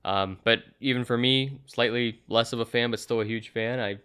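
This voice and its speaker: A man's voice: mid-range voice, steady tone, moderate pace, fairly bright tone, clean tone. A young man hosting a movie review, chatting casually in an enthusiastic, agreeable way. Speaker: host of a movie review show.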